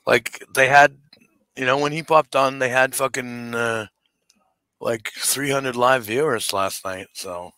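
A man's voice: medium pitch, steady tone, a little slow, sharp tone, smooth, no room sound. A man in casual podcast chat, swearing freely. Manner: profane.

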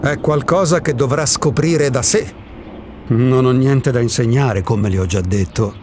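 A man's voice: mid-range voice, a little slow, a little mellow, a little hiss or hum, lightly acted. An actor playing an elderly reclusive male ghost in a radio drama, a wry and contrarian philosopher with a theatrical, emphatic delivery. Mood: insistent.

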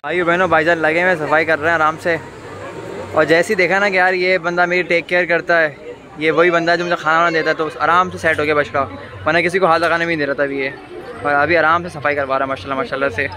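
A man's voice: fairly high pitch, little pitch movement, fast talker, noisy background. A man in his twenties with a Pakistani accent, chattily addressing viewers as a vlogger and repeating phrases for emphasis. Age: 20s. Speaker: vlogger and host. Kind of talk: enthusiastic vlog commentary and street interviewing. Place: outdoors at night in a crowded tented cattle display.

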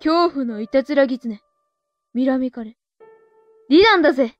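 A woman's voice: high-pitched, normal speed, no echo, highs cut off, faint music behind, lightly acted. A woman playing a naive, eager young witch girl, earnest and awkwardly half polite, half boyish, in a comic anime voice drama. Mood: perky.

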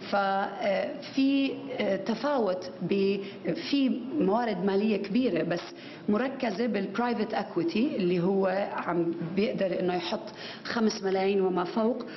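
A woman speaks into a podium mic in a big conference hall. Her words carry around the room and the sound is a little muffled. She pauses often, searches for words, and restarts her sentences. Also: mid-range voice, a little hiss or hum. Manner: animated gestures, many fillers and restarts. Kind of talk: conference talk. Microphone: podium microphone.

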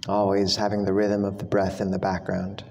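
A man leads a guided meditation in a low, even voice. He speaks slowly and gently, leaving long pauses between phrases. The recording has long hall reverb and some background noise. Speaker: monk, meditation teacher.

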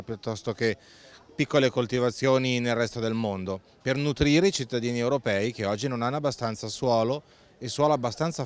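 Middle-aged man, campaign coordinator, earnest on-camera interview, close handheld mic, at a fair stand. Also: mid-range voice. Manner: earnest, explanatory, with hesitant fillers. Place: indoor stand at a busy food fair. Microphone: handheld mic close below the face.

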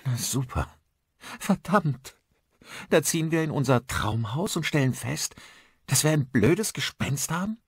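A man's voice: fairly high pitch, big pitch swings, measured pace, breathy edge, dry recording, voice pushed up, full character voice. A man voicing a frightened, sarcastic, shaken wife in an audiobook, emotional and emphatic. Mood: exasperated. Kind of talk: dramatised audiobook dialogue.

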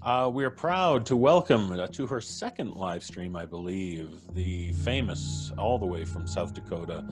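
Deep-voiced man hosting over soft music. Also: expressive, unhurried, hardly any pauses. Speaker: host. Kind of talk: live-stream introductions. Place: indoor room, on a video call. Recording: soft music playing behind. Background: background music.

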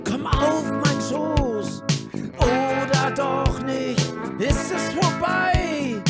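A woman sings in a high voice. The phrases come very slowly, with loud music all around her. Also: lively intonation. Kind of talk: singing a song.

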